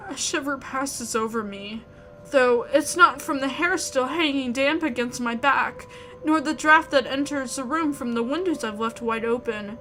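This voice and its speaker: Female game narrator, bright and emotive, reading a visual novel over music. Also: fairly high pitch. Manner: theatrical, emotive. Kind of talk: expressive dramatic read-aloud narration. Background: background music.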